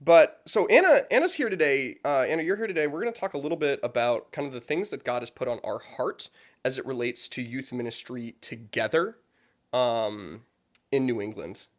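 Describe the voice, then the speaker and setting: A man's voice: mid-range voice, lively intonation, moderate pace. A young man hosting a church podcast as youth pastor, chatting casually and joking with his guest.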